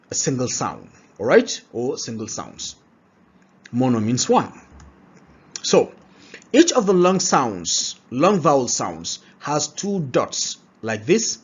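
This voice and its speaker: A man's voice: medium pitch, breathy edge. A man teaching a pronunciation lesson, often asking rhetorical questions and checking in with "all right?". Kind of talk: classroom-style lesson. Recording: dull lo-fi recording.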